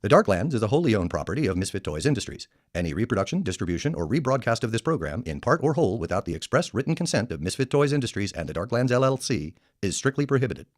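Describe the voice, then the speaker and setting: A male announcer with a fairly low voice reads scripted radio credits. His pitch moves in wide, animated swings through the formal announcements. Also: fast talker, few pauses.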